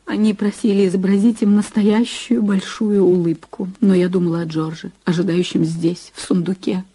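An actress in an audio drama playing an anxious, emotional film star and newlywed wife. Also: female voice, medium pitch, fairly even intonation, normal speed, warm tone, breathy edge, close to an everyday voice. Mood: despairing. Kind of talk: radio play acting.

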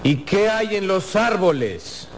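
A possessive, obsessive captor in a radio drama. His high voice swings widely as he coaxes and taunts with mock tenderness. There is faint noise behind him. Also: big pitch swings, slow, full character voice. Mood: sly, taunting. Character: menacing, possessive masked male captor, obsessive and sly.

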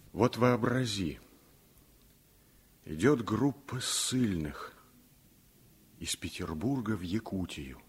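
A man's voice: on the low side, husky, full character voice. An actor playing a grave former political exile in a radio play, recounting his memories in a solemn, weighty way. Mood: somber. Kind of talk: Soviet radio play dramatic storytelling.

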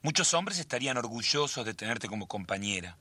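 An actor playing a worried, secretive grown son in a radio family melodrama, with a husky voice and a Rioplatense accent. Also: male voice, mid-range voice, sing-song, fairly quick, sharp tone, full character voice. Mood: earnest. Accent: Argentine, Rioplatense. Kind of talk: radio drama acting.

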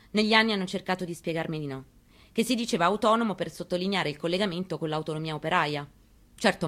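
A woman performing a dramatic monologue as a grieving, resolute elderly mother, in a deliberate, intimate manner. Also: on the low side, lightly acted. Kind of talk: dramatic monologue, theatrical reading.

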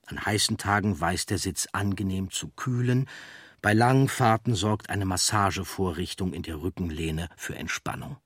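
A man with a fairly low, husky voice narrates a documentary script. He explains things in measured phrases, and the sound is very dry, without room echo. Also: fairly bright tone. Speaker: audiobook narrator.

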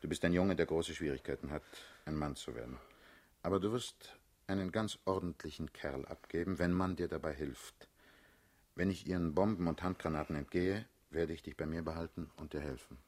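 Low-voiced, wry political leader. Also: male voice, fairly quick, a little mellow. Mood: earnest, fatherly. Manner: persuasive, wry, commanding. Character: seasoned male political leader, fatherly, mocking, self-assured. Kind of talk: German radio play acting.

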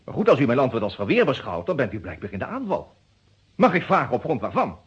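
A proud male lawyer under suspicion in a vintage radio crime drama. His husky voice swings widely in pitch as he throws out sardonic, mock-formal challenges. The sound is muffled and lo-fi. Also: huge pitch swings, fast, heavily acted. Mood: cool, challenging. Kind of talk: Dutch detective radio drama acting. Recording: dull lo-fi old radio recording.

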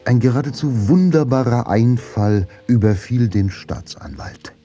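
An actor playing the grave narrator of a radio crime drama, delivering scripted prose in deliberate, suspenseful phrases. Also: male voice, mid-range voice, dark tone, close to an everyday voice. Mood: tense narration. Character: grave, measured male storyteller of a crime tale. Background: faint music.

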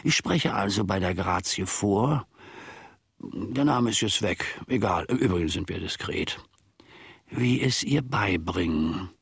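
Grumbly old servant, lowish raspy voice, wry and confiding, radio play monologue. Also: male voice, fairly bright tone, no room sound, studio quality, full character voice. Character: wry, long-suffering old manservant telling his tale.

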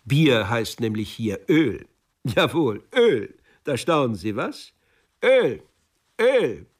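A man's voice: mid-range voice, big pitch swings, takes it slowly, far from the mic. A man voicing a boastful, nostalgic older former officer in a radio play, effusive and self-satisfied. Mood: smug, excited.

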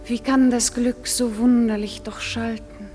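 A woman's voice: on the high side, flat delivery, unhurried, lightly acted. An actress playing a lovelorn, envious young woman who declaims plaintive verse in a classical radio drama. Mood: bitter longing. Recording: vintage radio play, long reverb, music behind.

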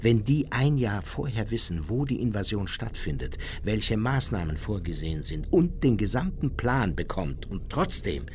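An actor playing an unrepentant, cynical former Nazi officer who speaks dismissively and justifies himself in a radio play. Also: male voice, lowish voice, sing-song, moderate pace, few pauses, a little mellow. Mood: bitter. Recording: reverberant hall, noisy ambience.

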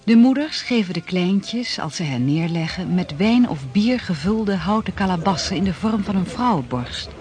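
An actor serving as sober narrator of a historical radio drama, reading scripted narration. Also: male voice, sits high, expressive, moderate pace, few pauses, darkish tone, soft background music. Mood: measured.

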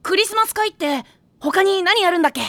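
A man voicing a bright, naive, excitable high-school volleyball boy in an anime audio drama, polite to his seniors. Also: a little fast, no room sound, full character voice. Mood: curious. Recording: music playing behind the voice.

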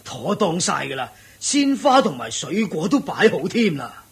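A man's voice: high-pitched, very animated, measured pace, creaky, full character voice. A man with a Hong Kong Cantonese accent playing a dutiful, deferential household steward in a radio drama, speaking ceremoniously.

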